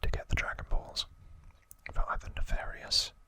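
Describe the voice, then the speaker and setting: A man whispering an ASMR recap in a breathy, unvoiced voice, explaining casually and haltingly as host. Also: sharp tone.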